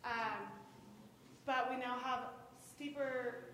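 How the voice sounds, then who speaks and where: A woman's voice: sits high, fairly even intonation, slow pace, a bit bright. A woman from Oklahoma asking a question at a panel, chatty and hesitant, with many fillers. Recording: echoey hall with noise.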